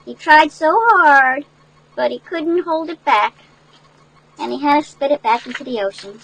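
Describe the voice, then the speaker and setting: An older woman reading a picture book aloud to children in an animated, folksy way, with chatty asides that explain things. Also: high voice, moderate pace, vocal fry, a little hiss or hum, poor audio. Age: older, great-grandmother. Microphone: headset.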